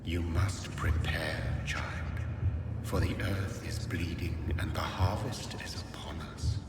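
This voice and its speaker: An actor playing an ancient, commanding vampire spirit in a video game, speaking in solemn, archaic prophecy. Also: male voice, low voice, level tone, slow, whispered. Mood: solemn, ominous. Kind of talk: dramatic video game acting. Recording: cavernous reverb, very noisy, distant.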